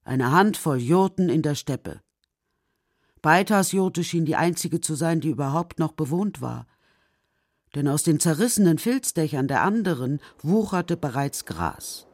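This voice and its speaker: A man's voice: fairly high pitch, lively intonation, a little slow, dry recording, studio quality. A man reading scripted narration for a children's radio play.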